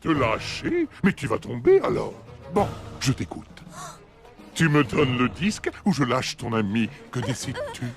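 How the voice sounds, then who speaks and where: A man's voice: mid-range voice, huge pitch swings, measured pace, vocal fry, over music, heavily acted. A man playing a gloating puppet master villain in a cartoon, theatrical, mocking and menacing. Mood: mocking menace. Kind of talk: French cartoon dub acting.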